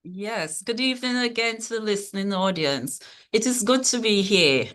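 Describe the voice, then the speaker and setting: A woman from Tobago speaks as a librarian guest on an online panel. Her tone is bright and forward, and she lays out her points in a measured, explaining way. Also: medium pitch, animated.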